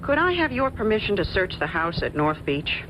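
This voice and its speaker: A woman's voice: medium pitch, very animated, a little fast, noisy background, natural voice. An actress playing a shrewd, coolly confident woman investigator in an old-time radio mystery, insistent and pointed. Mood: probing. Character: shrewd, probing woman investigator laying out her theory. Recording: muffled old radio drama recording.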